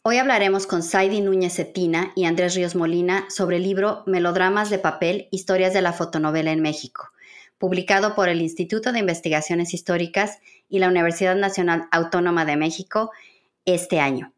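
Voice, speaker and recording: A woman's voice: on the low side, steady tone, brisk. A woman hosting a podcast interview, presenting guests and putting prepared questions to them. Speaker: podcast host interviewing book authors.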